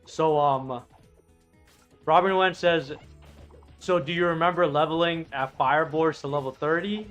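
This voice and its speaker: A man's voice: on the high side, unhurried, pauses often, a bit bright, faint music behind. A man in his late thirties streaming a game, chatting casually and reading viewer messages aloud in a rambling, profane way. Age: 30s. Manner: rambling, profane, restarts sentences. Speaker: streamer playing an online game.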